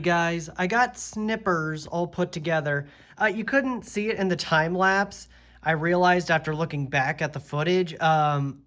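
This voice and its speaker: A young man chats through a casual vlog at an unhurried, drawn-out pace. He is losing his voice, so it sounds slightly worn, and he pauses often with ums and uhs as he thinks aloud. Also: on the high side, pushed and bright. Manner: slow, hesitant, full of ums and uhs. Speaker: host.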